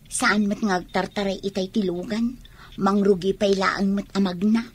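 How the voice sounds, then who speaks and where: A woman's voice: mid-range voice, fairly even intonation, normal speed, slight background noise, close to an everyday voice. An actress playing a bold, whiny, scheming young woman in an Ilocano radio drama. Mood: complaining.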